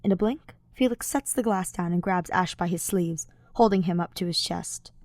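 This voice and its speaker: A woman's voice: on the low side, normal speed, a little mellow, dry recording. Woman narrating fiction in a dry space. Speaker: audiobook narrator.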